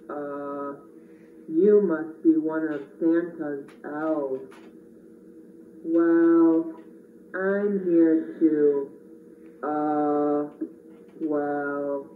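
A gentle, bumbling puppet creature, played by a woman drags out every word with hesitant pauses. The sound is distant and muffled-tinny in an echoey room. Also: sits low. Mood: bashful, hesitant. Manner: hesitant, drawn-out, many uhs and wells. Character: slow, gentle, hesitant creature hoping to help. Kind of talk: children's puppet show. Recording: echoey, tinny, distant camera, faint noise.

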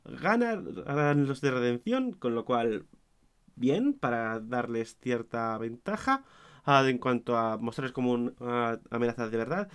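A hoarse young man giving an opinionated, self-mocking casual review, with his volume swinging between loud and mumbled. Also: medium pitch, animated, a little slow. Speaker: wrestling show reviewer.

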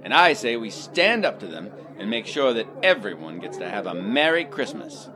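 A man's voice: on the low side, sing-song, normal speed, bright, forceful tone, a faint crowd. A man playing a smug, condescending small-town boyfriend, cheerfully patronizing, in a satirical radio play. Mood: smug, rallying.

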